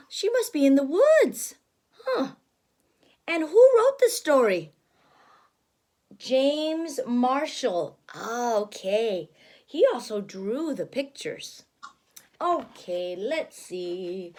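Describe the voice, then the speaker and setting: A woman with a high voice reads a children's story and explains it as she goes. Her delivery is slow and very animated, full of gasps and simple questions, like a teacher guiding a small child. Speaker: teacher reading a picture book aloud to a child.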